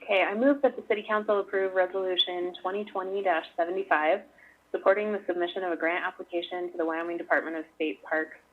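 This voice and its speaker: A woman's voice: on the low side, phone-quality sound. A woman speaking as a city councilor in a public meeting, over a thin remote connection. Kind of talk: council meeting remarks and motion.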